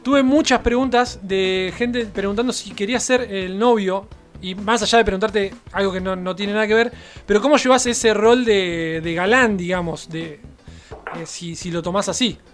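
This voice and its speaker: A man's voice: sits high, normal speed, faint music behind. A man with an Argentine accent interviewing in a casual chat, wordy and rephrasing his questions as he goes. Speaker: radio interviewer.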